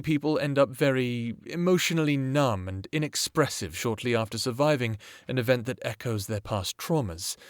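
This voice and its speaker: An actor playing a gentle, encouraging therapist in an audio drama, speaking in a measured, tentative and attentive professional way. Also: male voice, mid-range voice, no echo, clean studio sound, lightly acted. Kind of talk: audio drama roleplay acting, one-on-one session.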